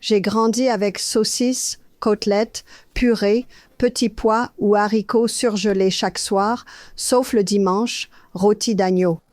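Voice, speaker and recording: An older woman from Australia tells her story as an interview guest, earnest and anecdotal. Her voice sits at an ordinary pitch and is recorded close and dry. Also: fairly even intonation, takes it slowly, darkish tone, no echo. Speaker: guest health educator.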